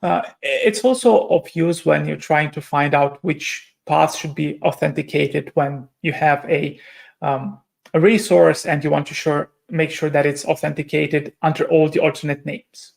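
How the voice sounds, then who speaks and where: A man's voice: on the high side, unhurried, creaky. A man from Romania, giving a technical conference presentation and explaining step by step with frequent hesitations and self-corrections. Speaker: conference speaker.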